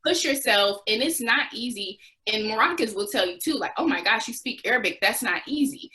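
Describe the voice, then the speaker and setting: Expressive young American woman telling stories. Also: fairly high pitch, brisk. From United States. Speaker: interview guest, former Peace Corps volunteer. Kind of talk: conversational interview storytelling.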